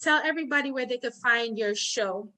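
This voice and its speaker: A woman's voice: a little high, brisk, pushed and bright. A Jamaican woman hosting a casual interview, affirming her guest with frequent murmurs of agreement. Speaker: podcast host.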